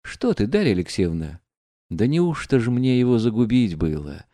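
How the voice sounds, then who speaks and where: A man's voice: medium pitch, sing-song, moderate pace, warm tone, no echo, clean studio sound, lightly acted. A man reading aloud and voicing a proud, reckless young woman who speaks in a bitter, mocking, defiant way.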